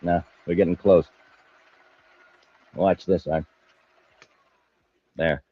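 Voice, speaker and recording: An actor playing a smug, scheming, boastful crook in an old-time radio space serial. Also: male voice, sits low, level tone, drawn-out words, slightly rough, full character voice. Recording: dull lo-fi old radio recording.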